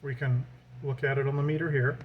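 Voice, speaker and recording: A man's voice: medium pitch, level tone, fairly quick, phone-quality sound. A man giving an informal hands-on tutorial as a hobbyist, thinking aloud while he works. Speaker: radio hobbyist demonstrating his equipment. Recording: clear background noise.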